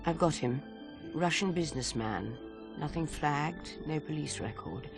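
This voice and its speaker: A woman playing a stern, authoritative spymaster in a video game, speaking briskly and formally. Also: low-pitched, animated, over music. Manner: brisk, formal, matter-of-fact. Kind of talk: video game cutscene acting.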